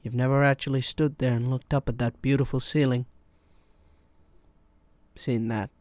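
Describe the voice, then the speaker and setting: A man playing a weary, wounded therapist in a film drama monologue, speaking in a measured, pointed and confrontational manner. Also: medium pitch, little pitch movement, a little mellow, no echo, lightly acted. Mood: pointed, restrained.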